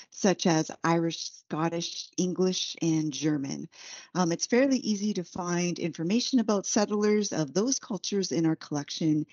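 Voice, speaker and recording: A woman archivist opens an event and introduces the guest speaker. Her voice is low and mellow, and she reads her remarks with small fillers. The sound is dry and dull. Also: dry recording.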